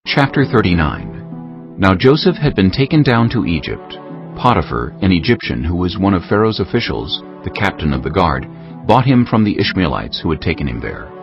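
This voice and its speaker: A man narrating a dramatized scripture text in a measured, solemn storytelling style. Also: sits low, big pitch swings. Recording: dull lo-fi audio, faint music behind.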